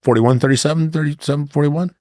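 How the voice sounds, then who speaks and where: A man's voice: a little high, fast talker, darkish tone, no room sound, studio quality. A middle-aged man telling casual anecdotes as a podcast guest, acting out both sides of conversations. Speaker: podcast guest, former undercover agent.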